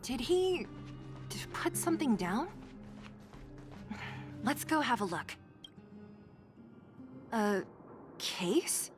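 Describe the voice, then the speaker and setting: An actress playing a curious young adventurer in a game, talking thoughtfully and a little hesitantly. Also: female voice, fairly high pitch, sing-song, drawn-out words, pauses often, over music, heavily acted. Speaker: game character exploring.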